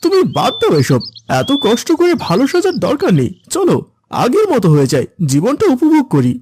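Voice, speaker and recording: A woman's voice: on the high side, wildly swooping pitch, brisk, dark tone, breathy edge, no echo, clean studio sound, heavily acted. A woman playing a sly, persuasive friend in a cartoon, coaxing and insinuating. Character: sly, persuasive friend tempting someone back to sin.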